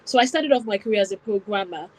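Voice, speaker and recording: A woman's voice: mid-range voice, fast, rough recording. Woman, raised in Nigeria, interview guest, mid-range voice, reflective personal storytelling. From born in Wales, raised in Nigeria from about nine.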